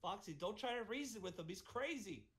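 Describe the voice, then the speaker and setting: A man's voice: high-pitched, expressive, a little fast, fairly bright tone. A man playing an earnest, bossy young night guard, exclaiming insistently in a Minecraft roleplay. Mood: worried, insistent. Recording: music behind the voice.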